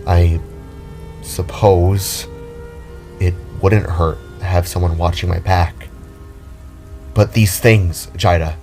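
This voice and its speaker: A man plays a brooding alien hero, the grave last survivor of his kind. The voice is fairly low, halting and earnest, with music behind it in an audio drama. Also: a little mellow. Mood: hesitant, cautious.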